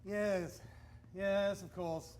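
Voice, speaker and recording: Eager high-voiced 1920s publicity man. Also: fairly even intonation, extremely slow, a little mellow. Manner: eager, persuasive. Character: upbeat, pushy 1920s company publicity man. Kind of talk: period radio drama acting.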